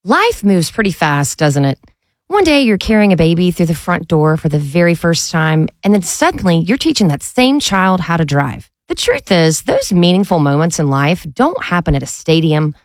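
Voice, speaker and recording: Female commercial narrator, lower register, animated intonation, reflective scripted read, close and dry. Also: moderate pace, no room sound.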